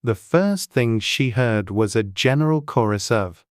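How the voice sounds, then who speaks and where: A man narrating a classic children's story as an audiobook reader, measured and gentle. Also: mid-range voice, animated, dry recording, clean studio sound.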